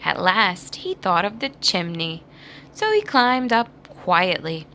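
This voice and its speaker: A woman narrates a children's storybook expressively. She takes her time, with wide swings in pitch and a bit of creak in the voice, over faint background noise. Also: big pitch swings, slow, sharp tone. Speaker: storybook reader. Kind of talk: children's read-aloud narration.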